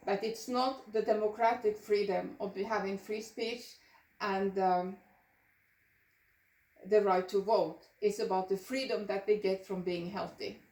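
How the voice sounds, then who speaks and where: An older woman delivers a prepared talk about her own views. Her phrasing is deliberate and earnest. The sound is distant from the microphone, with clear room echo around her medium-pitched voice. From Norway. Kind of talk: prepared personal presentation.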